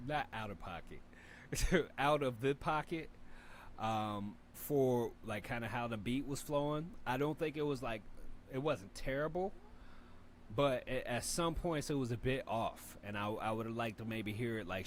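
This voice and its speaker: A man with a deep voice hosting a rap review show, giving loose, rambling feedback with lots of fillers and the odd curse. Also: a little hiss or hum. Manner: laid-back, rambling, profane, lots of fillers. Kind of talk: casual spoken feedback and mixing tips.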